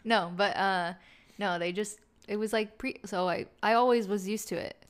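A woman's voice: mid-range voice, normal speed. Young woman chatting animatedly. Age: young adult. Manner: animated storytelling, quotes herself. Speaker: podcast co-host. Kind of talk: casual chat.

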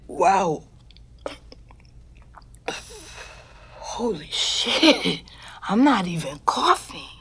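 An actress playing a streetwise, weary middle-aged ex-convict who narrates an audio drama in a frank, wistful, conversational way with slangy swearing. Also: female voice, mid-range voice, big pitch swings, a bit bright, slightly rough, heavily acted. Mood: mellow, amazed. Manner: conversational, halting, profane slang. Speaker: lead actor and narrator in scripted fiction podcast. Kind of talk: intimate first-person audio drama narration.

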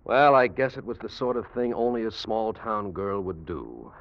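An actor playing an earnest, wronged rival who speaks pointedly, in 1940s radio drama style. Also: male voice, on the low side, moderate pace, lightly acted. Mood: pointed, triumphant. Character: wronged male rival, earnest, quietly triumphant accuser. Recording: muffled vintage radio recording.

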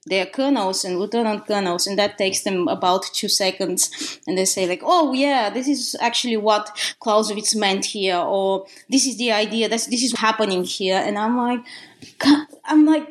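A woman from Bulgaria with a Bulgarian accent, talking as a guest author in a podcast interview, pausing often with restarts and ums. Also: medium pitch. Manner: halting, many restarts and ums.

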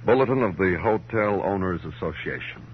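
A dry, terse detective in 1950s radio crime drama. The voice is very low and the phrases are clipped and deadpan. The old recording is muffled, with faint hum. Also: male voice, brisk. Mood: dry, patient. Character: terse, dry male police detective, steady and unhurried.

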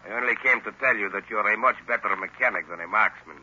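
An actor playing a sinister, commanding professor and hypnotist villain in 1930s radio drama style, speaking slowly and with menace. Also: male voice, on the low side, pushed and bright. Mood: commanding, hypnotic. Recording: noisy dull 1930s radio recording.

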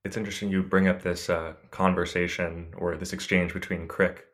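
A man's voice: deep voice, level tone, normal speed, fairly bright tone. A man hosting a book podcast, reading a formal introduction and then asking loose, conversational questions. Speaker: podcast host, assistant editor. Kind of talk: podcast interview.

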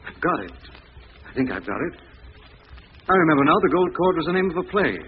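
An actor playing a brooding, deliberate amateur detective piecing clues together in an old-time radio drama. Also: male voice, a little high, sing-song, breathy edge. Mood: brooding realization. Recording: thin, phone-like, noisy vintage radio recording.

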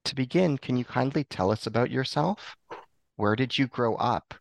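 A man hosting a book podcast, introducing his guest formally and asking measured questions. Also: medium pitch, creaky, dry recording. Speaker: podcast host.

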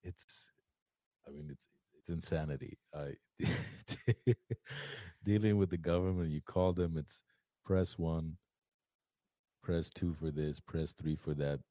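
A man with a deep voice chats casually. The tone is dark and relaxed, the sound dry. He is blunt and slangy, with a sardonic edge. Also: slow pace, pauses often, no room sound. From Armenia. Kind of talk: casual chat.

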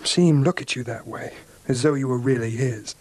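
An actor playing a jealous, resentful chauffeur lover, speaking intimately and bitterly in old radio melodrama style. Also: male voice, brisk, darkish tone, lightly acted. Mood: jealous, brooding. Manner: low-key, intimate, bitter.

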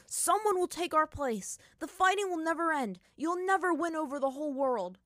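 A woman's voice: high-pitched, lively intonation, normal speed, fairly bright tone, dry recording, studio quality, voice pushed up. A woman voicing a brave young boy hero who answers his enemy in a raised, earnest voice. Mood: defiant.

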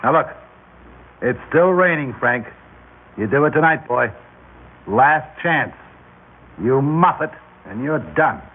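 A man's voice: mid-range voice, animated, slow, long pauses, husky. An actor playing a ruthless crime boss in a classic radio drama, with clipped, commanding tough-guy talk that turns nervous under pressure. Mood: cold threatening. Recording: tinny, noisy old radio broadcast.

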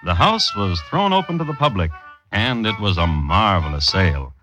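Droll radio storyteller, animated pitch. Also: male voice, moderate pace, over music. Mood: dry, droll. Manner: deadpan, dry, formal. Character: droll, scholarly male true-crime storyteller. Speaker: host and narrator. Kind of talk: 1950s radio scripted narration.

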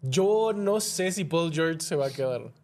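A man's voice: a little high, expressive, measured pace, a little mellow. A man with a Mexican accent co-hosting a podcast, chatting casually about sports in an informal, slangy way. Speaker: podcast co-host.

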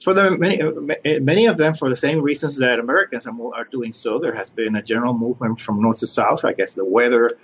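A middle-aged man from Cuba and Puerto Rico, an academic guest explaining his research in measured, halting phrases with frequent pauses. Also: medium pitch, fairly bright tone, breathy edge. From born in Cuba, raised in Puerto Rico. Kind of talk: explanatory interview talk. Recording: dull lo-fi sound with background noise.

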